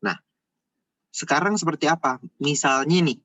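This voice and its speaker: A man's voice: fairly high pitch, moderate pace, a bit bright, dry recording, lo-fi sound. A man giving an informal step-by-step tutorial, repeating himself and often adding small checking tags. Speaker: accounting tutor.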